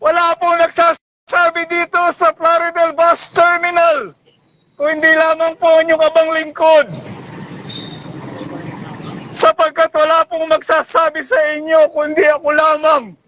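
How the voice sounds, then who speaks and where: A man with a Filipino accent delivers a street sermon in a very high, strained-up pitch that stays on one level. He pleads and exhorts at shouting volume. The recording is dull and lo-fi. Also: monotone, unhurried, dry recording. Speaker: street preacher. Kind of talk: evangelistic public sermon. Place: busy public bus terminal.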